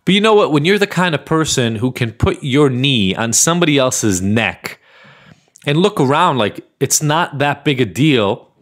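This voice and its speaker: A man's voice: mid-range voice, animated, moderate pace, fairly bright tone. A man from Israel hosting a podcast, talking bluntly and emphatically in a solo monologue, with frequent swearing. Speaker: podcast host.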